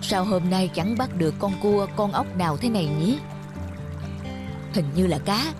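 A woman's voice: medium pitch, animated, normal speed, over music, voice pushed down, close to an everyday voice. A woman voicing a troubled old peasant mother in a cartoon fairy tale. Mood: puzzled.

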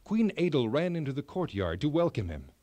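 Animated male storyteller, slightly high voice. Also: brisk, no echo. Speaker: storyteller, narrator. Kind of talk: scripted children's fairy tale narration.

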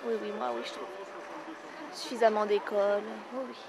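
A young woman, a schoolteacher, answering a street interview outdoors, quick and affirming. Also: mid-range voice, level tone, creaky, background noise. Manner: quick, affirming, repeats yes.

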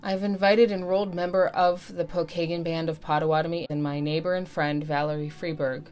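A woman's voice: deep voice, steady tone, brisk, a little mellow. A woman giving a scripted, formal talk as a speaker in a service. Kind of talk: scripted land acknowledgement talk.

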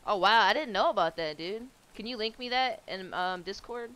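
A low-voiced young woman talks into a headset at home as she plays, relaxed and foul-mouthed, trading banter with her chat. Her voice comes through smooth and clear, at an ordinary middle pitch. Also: moderate pace. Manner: laid-back, swearing, chatting with viewers, laughing often. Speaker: streamer. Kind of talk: casual chat while gaming.

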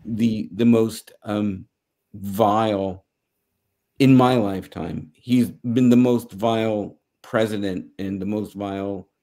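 A middle-aged American man giving news commentary on a video call, halting and searching for words. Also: lowish voice, steady tone, warm tone. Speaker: panelist. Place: small home office, indoors.

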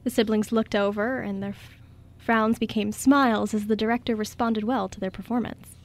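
A woman's voice: a little high, fairly even intonation, normal speed. A woman reading scripted story narration as the narrator of a radio drama.

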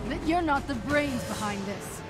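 An actress playing a tough, defiant superhero spy in a video game cutscene. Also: female voice, high-pitched, lively intonation, normal speed, loud music behind the voice. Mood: defiant, combative.

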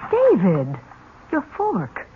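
A woman's voice: medium pitch, wildly swooping pitch, extremely slow, a little hiss or hum, full character voice. An actress playing a polite, attentive woman in a 1970s radio drama. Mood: polite concern. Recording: tinny, phone-like vintage radio broadcast.

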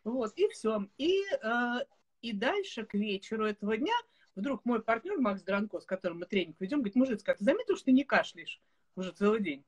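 A woman in her forties hosting a casual video call chat, telling stories in an animated, laughing way. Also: fairly high pitch, brisk, slightly rough. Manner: lively storyteller, animated and laughing. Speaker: host. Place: home room with bookshelves. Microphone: video call webcam.

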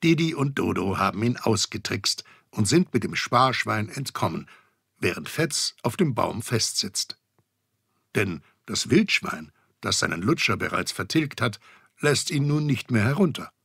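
A man narrating a children's audio drama as an expressive, wry storyteller. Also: fairly low pitch, unhurried, gravelly, slightly rough, dry recording, studio quality, heavily acted. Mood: wry, suspenseful.

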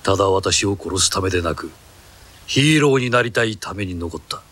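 A man's voice: deep voice, expressive, moderate pace, fairly bright tone. A middle-aged man dubbing film characters, stern and commanding, often menacing. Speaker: voice actor dubbing film roles. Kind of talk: film dubbing, dramatic acting.

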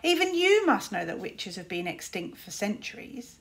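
A woman's voice: medium pitch, sing-song, normal speed, fairly bright tone. A woman voicing a scornful older-brother boy wizard in a storybook, with sneering, drawling emphasis. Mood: mocking.

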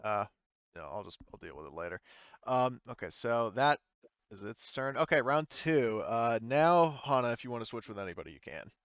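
Smooth low-voiced guy game mastering online, thinks out loud, dry slightly dull audio. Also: very animated, no echo. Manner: halting, thinking aloud. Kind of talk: running an online tabletop roleplaying game, casual. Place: indoors, online voice call.

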